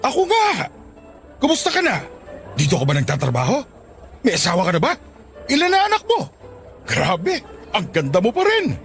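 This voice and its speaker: An excitable middle-aged man in a radio drama. His high voice swings dramatically up and down as he coaxes, cheeky and eager. Soft music sits behind him. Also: moderate pace, long pauses, full character voice. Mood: excited. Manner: coaxing, excitable. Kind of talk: Tagalog radio drama acting.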